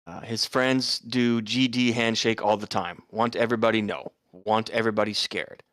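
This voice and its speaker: A man's voice: on the low side, steady tone, normal speed, a bit bright, no room sound, studio quality, lightly acted. A man playing a defiant, indignant young man in a script read, arguing in slangy street talk. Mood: accusing. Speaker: amateur actor reading a part. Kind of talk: screenplay table read, dramatic dialogue.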